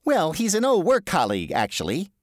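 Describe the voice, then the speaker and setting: A man's voice: high-pitched, wildly swooping pitch, a little fast, no room sound, studio quality, voice pushed up. A man voicing a nervous, evasive man who fumbles and is overly polite while bluffing. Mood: flustered.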